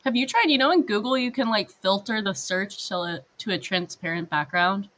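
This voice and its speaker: Female livestream co-host from a game team, conversational, average pitch. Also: moderate pace, fairly bright tone. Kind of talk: casual chat with colleagues.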